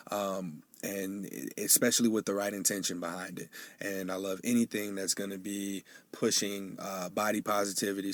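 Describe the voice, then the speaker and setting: A man with a fairly low voice hosts his own podcast, rambling casually through announcements and listener questions with plenty of ums. He sounds nasal and a bit sniffly, as if a cold is coming on. The recording is dry and close, with no room sound. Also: no room sound. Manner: casual and chatty, with frequent fillers. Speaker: podcast host. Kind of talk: solo podcast monologue.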